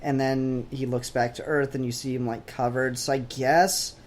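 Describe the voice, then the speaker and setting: Blunt guy podcast co-host, medium pitch, opinionated, casual comic talk. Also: flat delivery, normal speed. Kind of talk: casual comic book discussion.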